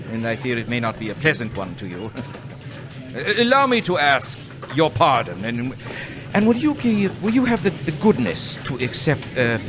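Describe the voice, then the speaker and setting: An actor playing a reformed old miser in a live radio play, chuckling and stammering with glee. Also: male voice, mid-range voice, sing-song, moderate pace, music in the background, lightly acted. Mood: giddy.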